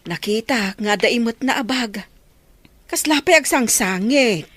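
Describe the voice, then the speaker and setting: A woman's voice: a little high, expressive, measured pace, fairly bright tone, dry recording. A woman playing an insistent neighbour bringing news in an audio drama, with a northern Philippine accent.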